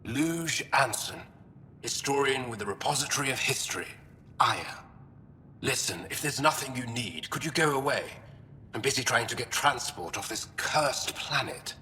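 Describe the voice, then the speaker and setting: A man's voice: animated, normal speed, pushed and bright. An actor playing an impatient, suspicious male alien historian in a video game, with a huffy, sardonic delivery. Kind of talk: video game dramatic acting. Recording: long reverb, faint rumbling noise.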